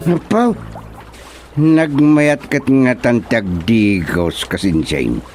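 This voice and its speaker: A man with an Ilocano accent playing an excitable, bewildered country fellow in a radio comedy drama. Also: mid-range voice, slow, soft background music. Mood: puzzled. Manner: exclamatory, theatrical.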